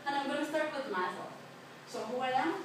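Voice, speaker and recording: A woman's voice: high voice, animated, brisk. A woman in her twenties from Colombia, a native Spanish speaker with a Colombian accent, giving a chatty class presentation with many fillers and restarts. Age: 20s. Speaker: student presenter. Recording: echoey, noisy room from a distance.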